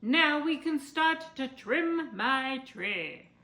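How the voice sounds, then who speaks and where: A woman voicing an excitable wealthy gentleman in a storybook, grand and exclamatory. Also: sits high, drawn-out words, reverberant, pitched above the performer's own voice, exaggerated voice. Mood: gleeful. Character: excitable wealthy gentleman delighted with his Christmas tree.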